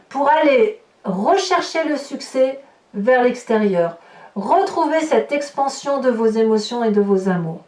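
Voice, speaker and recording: Female tarot host, higher-than-average voice, emphatic improvised guidance with repeated phrases, clearly echoey recording. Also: a little mellow. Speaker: tarot reader, horoscope host. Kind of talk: improvised tarot reading commentary addressed to viewers. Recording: roomy echo, distant microphone.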